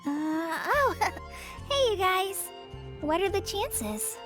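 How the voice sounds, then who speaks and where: A woman's voice: very high-pitched, big pitch swings, extremely slow, full character voice. A woman playing an awkward, timid young woman in a video game, hesitant and faltering. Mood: awkward, flustered. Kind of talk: video game character voice acting. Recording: background music under the voice.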